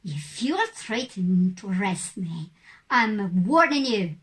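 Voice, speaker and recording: A woman playing a defiant citizen who makes firm, deliberate statements in a scripted puppet show. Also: medium pitch, sing-song. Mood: firm, warning.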